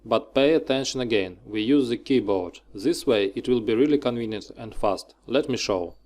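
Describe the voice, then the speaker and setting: Man giving step-by-step software instructions. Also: medium pitch, normal speed. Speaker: software tutorial narrator.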